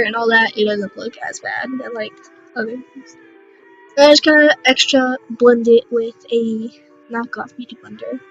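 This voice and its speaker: A young woman with a raspy voice, casually narrating a makeup tutorial in a rambling, unsure, self-deprecating way. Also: fairly high pitch, a bit bright, rough recording.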